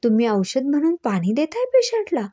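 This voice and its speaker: A woman's voice: sits high, big pitch swings, normal speed, warm tone, dull audio, voice pushed up. A woman storyteller voicing a polite male pharmacist who reacts with astonished questions. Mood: shocked. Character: polite, incredulous male pharmacist, easily shocked.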